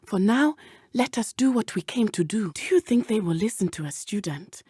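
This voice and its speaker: A woman's voice: mid-range voice, lively intonation, normal speed, darkish tone, husky, dry recording, studio quality. A woman playing an earnest, brave teenage schoolgirl witch in a video game, speaking in formal, precise phrases. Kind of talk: video game character dialogue.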